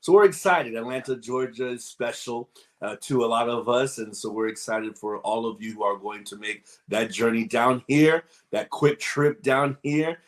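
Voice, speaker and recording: Enthusiastic male host, welcoming, effusive, mid-pitched voice. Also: moderate pace. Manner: upbeat, effusive, repeats "excited". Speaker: host, moderator. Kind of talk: welcoming interview introduction.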